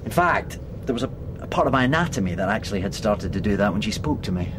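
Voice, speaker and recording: An actor playing a sarcastic, exasperated middle-aged father with an excitable manner in a comedy drama. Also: on the low side, quick pace, lightly acted. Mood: wry. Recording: clear background noise.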